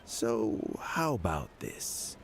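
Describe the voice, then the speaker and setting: Creaky, slow-talking elderly gentleman. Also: fairly low pitch, big pitch swings, heavily acted. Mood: measured explaining. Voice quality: creaky, weathered. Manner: halting, formal, gentle. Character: frail elderly gentleman official, courteous and measured. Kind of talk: video game voice acting. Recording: faint background noise.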